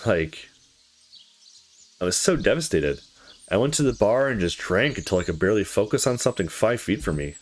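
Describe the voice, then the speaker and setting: A man voicing a troubled, candid young visual novel character who confides past heartbreak in a halting, confessional way. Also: on the low side, big pitch swings, soft background music. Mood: heavy, vulnerable.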